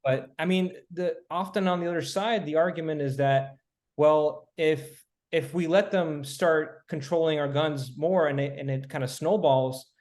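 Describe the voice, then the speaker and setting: Measured man with a higher-pitched voice. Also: steady tone, a little mellow. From Miami, Florida, US. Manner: measured, reasoned arguing. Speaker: podcast guest. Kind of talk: casual podcast discussion.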